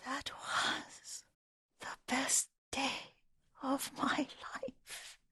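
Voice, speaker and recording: An actress playing a frail, devoted elderly Japanese herbalist in a video game, speaking gently and wistfully with a husky, breathy voice. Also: female voice, sits high, level tone, no room sound, whispered, studio quality, full character voice. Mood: wistful, tender. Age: older. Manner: gentle, wistful, slow and halting. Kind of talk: dramatic video game voice acting.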